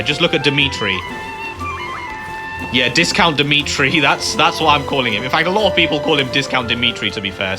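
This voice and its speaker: A man giving casual, rambling gameplay commentary, full of fillers. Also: medium pitch. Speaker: gaming youtuber.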